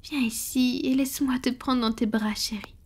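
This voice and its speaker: A woman playing a tender, proud centuries-old vampire in an intimate ASMR roleplay, murmuring slowly in a soft, breathy whisper. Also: high-pitched, fairly even intonation, a little hiss or hum. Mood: tender, affectionate. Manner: slow, intimate, affectionate murmuring. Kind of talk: French-language ASMR romantic roleplay.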